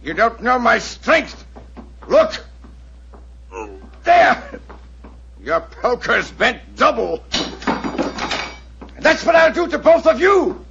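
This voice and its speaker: A man's voice: extremely high pitch, very animated, slow, vocal fry, pauses often, a bit bright, a little hiss or hum, exaggerated voice. An actor playing a menacing, bullying stepfather in an old radio drama, blustering and threatening, on a muffled old recording. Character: menacing brutish male stepfather doctor, violent bully. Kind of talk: 1940s radio acting.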